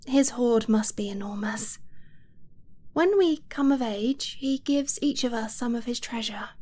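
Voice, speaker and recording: A woman voicing an affectionate young dragon-blooded girlfriend in an ASMR romance roleplay, soft and breathy, often near a whisper, tender and confiding. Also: fairly high pitch. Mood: fond, confiding. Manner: tender, unhurried, giggly, confiding.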